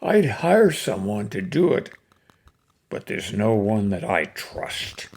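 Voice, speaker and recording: A man voicing an ornery, disgusted old cowboy in a recited comic poem, with a gruff, folksy manner. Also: on the low side, big pitch swings, slow, a little mellow, voice pushed down, heavily acted. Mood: muttered disgust.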